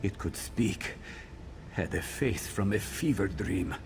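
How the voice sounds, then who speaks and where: Casting: blunt, embittered game warrior; deep, slow, gravel-throated, urgent, clear background noise. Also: male voice, expressive. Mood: shaken, grim. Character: gruff, embittered male fighter, shaken battle survivor. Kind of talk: scripted game character dialogue. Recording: noisy game ambience behind.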